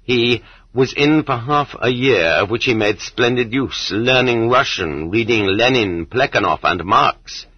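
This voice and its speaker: A man narrating a non-fiction audiobook with measured, sardonic delivery and expressive emphasis, on dull lo-fi audio. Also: medium pitch, breathy edge, dry recording.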